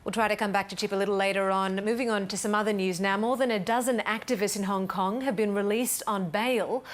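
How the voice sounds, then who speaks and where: A woman news anchor introducing a correspondent and moving on to the next story, composed and crisp. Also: mid-range voice, steady tone, brisk. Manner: crisp, composed studio delivery. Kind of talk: news presenting.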